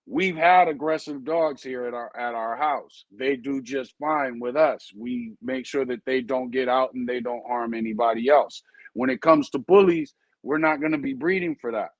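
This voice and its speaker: A man in his usual middle pitch, talking casually and earnestly as a show host. He lays out his points with everyday comparisons and keeps checking in with his listeners. The sound is dry and close, with no room behind it. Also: dry recording. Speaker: show host and dog breeder. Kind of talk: casual podcast talk.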